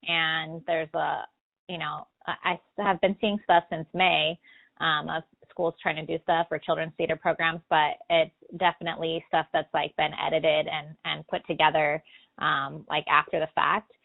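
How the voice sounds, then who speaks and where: A woman's voice: deep voice, level tone, normal speed, gravelly. An American woman, a drama teacher around her forties, giving earnest interview answers over a muffled phone connection. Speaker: middle school drama teacher and director. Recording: muffled, band-limited telephone interview.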